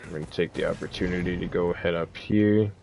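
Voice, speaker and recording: Casting: man, deep creaky voice, relaxed and informal game guide commentary, faint noise behind. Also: brisk. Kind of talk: casual gameplay walkthrough commentary.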